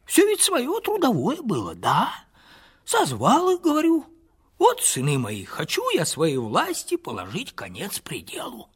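A man voicing a humble, poor old male peasant in his sixties who drops to whispers and rises to high, excited outbursts in folksy rustic speech. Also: unhurried, husky, exaggerated voice. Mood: proud. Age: 60s.

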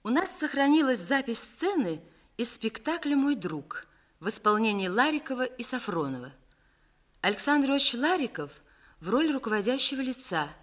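A woman reading scripted documentary narration in a clear, formal manner. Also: fairly high pitch, fairly bright tone.

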